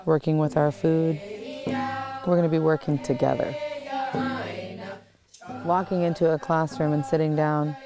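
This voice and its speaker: A woman's voice: deep voice, flat delivery, unhurried, pauses often. A woman speaking in a documentary interview. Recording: music bed under the voice.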